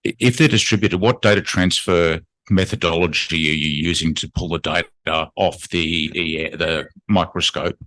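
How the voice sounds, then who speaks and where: A man in a conference audience asking a speaker technical questions, halting and restarting as he goes. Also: low voice, fairly even intonation, fairly bright tone. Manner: halting, restarts with filler words. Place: conference room.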